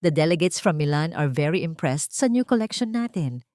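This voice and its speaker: A woman with a Filipino accent voicing a gentle, affectionate man in a love story audiobook, with a tender manner. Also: deep voice, lively intonation, moderate pace, dry recording, clean studio sound. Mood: tender, warm. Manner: tender, mixing English and Tagalog. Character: Raul, gentle, affectionate adult man, steadfast partner.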